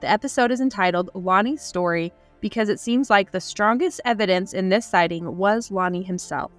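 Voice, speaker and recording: A woman's voice: mid-range voice, normal speed, soft background music. A woman co-hosting a podcast in upbeat, chatty casual conversation, laughing easily. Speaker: podcast co-host. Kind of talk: casual chat.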